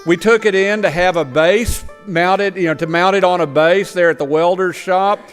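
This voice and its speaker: A man's voice: high voice, fairly even intonation, normal speed, faint music behind. A man gives a plain, explanatory church presentation about farming work with slides, sometimes tripping over his words. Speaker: missionary agriculture lecturer. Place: church sanctuary. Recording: long church reverb.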